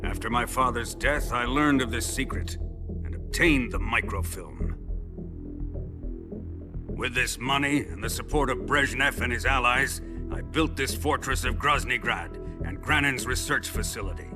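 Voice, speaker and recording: A man's voice: medium pitch, over music, close to an everyday voice. A man acting a pompous, menacing Soviet villain. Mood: proud, scornful. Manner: pompous, deliberate, theatrical delivery. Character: menacing, grandiose middle-aged Soviet colonel villain. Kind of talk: dramatic video game cutscene monologue.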